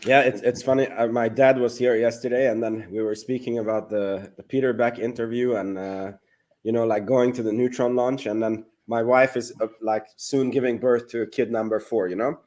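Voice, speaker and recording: Halting man. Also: mid-range voice, fairly even intonation, a little mellow. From Hungary. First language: Hungarian. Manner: halting, with repeated false starts. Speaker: livestream co-host. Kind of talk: casual livestream chat.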